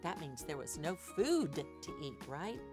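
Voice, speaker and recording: A woman's voice: low-pitched, very animated, measured pace, music in the background. A woman hosting an interactive read-aloud for young children, exaggerated and questioning as she addresses listeners directly. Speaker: children's storytime host.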